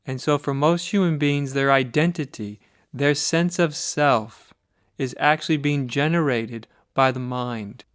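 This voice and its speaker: An American man leading a guided meditation as a spiritual teacher, speaking in a measured, deliberate way with pauses between short phrases. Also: mid-range voice, a bit bright, smooth, no room sound, studio quality. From United States.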